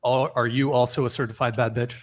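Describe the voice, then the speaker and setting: A man hosting a podcast, prodding his guests with quick questions and frequent restarts. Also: mid-range voice, level tone. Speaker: podcast host interviewing guests. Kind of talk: casual interview.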